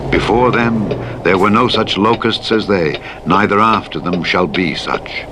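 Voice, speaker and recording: A man with a fairly low voice narrates scripture. His delivery is solemn, formal and measured, in the manner of a Bible audiobook. Clear engine and road noise sits behind the reading. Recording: steady vehicle noise over playback. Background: truck simulator engine and road noise.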